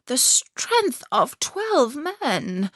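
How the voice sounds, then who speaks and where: A woman's voice: high voice, sing-song, extremely slow, fairly bright tone, dry recording, clean studio sound, voice pushed up, full character voice. A woman storyteller voicing a wise, frank northern woman from a fairy tale, speaking emphatically and earnestly. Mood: grave.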